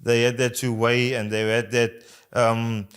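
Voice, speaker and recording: A man's voice: mid-range voice, level tone, a little slow, fairly bright tone, smooth. A man from South Africa with a South African accent, a rugby player co-hosting in casual podcast chat.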